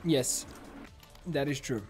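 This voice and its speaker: A young man with a South African accent, an Afrikaans speaker, chatting to his stream while playing, with bursts of loud exclamations. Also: mid-range voice, slow. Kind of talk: live gameplay streaming chat. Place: home streaming room. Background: game sounds and music.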